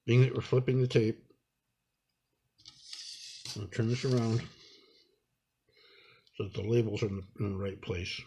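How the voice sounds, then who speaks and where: Man with a slightly husky voice, tutorial narration. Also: fairly low pitch, fairly even intonation, dark tone. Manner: unhurried, halting, talking through each step while working. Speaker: instructor demonstrating a repair. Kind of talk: hands-on tutorial narration, improvised.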